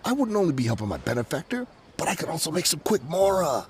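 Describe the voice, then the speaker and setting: An actor voicing a shifty, nervous smuggler in a video game, with a wheedling, evasive, excitable way of talking. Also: male voice, fairly high pitch, fast talker, slight background noise. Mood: guarded. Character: shifty, nervous, bargaining male smuggler and ex-mercenary.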